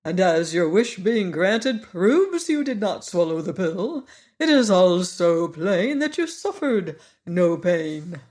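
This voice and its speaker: A self-important insect professor from a storybook, booming his logic slowly and loudly at everyone, as a narrator puts him on. Every sentence sounds like a lecture. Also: very animated. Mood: lecturing. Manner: pompous, lecturing, slow and loud. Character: pompous, highly educated giant insect.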